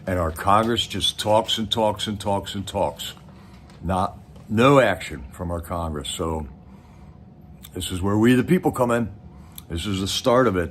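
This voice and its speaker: A man's voice: sits low, a little hiss or hum. A man hosting a livestream with casual, rambling, folksy commentary, speaking straight to his viewers. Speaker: livestream host.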